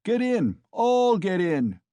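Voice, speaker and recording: A man's voice: high voice, big pitch swings, slow pace, dark tone, no room sound, muffled recording, voice pushed up, full character voice. A man voicing a drunken, brutal young peasant cart driver who bellows and boasts. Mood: drunken bellowing.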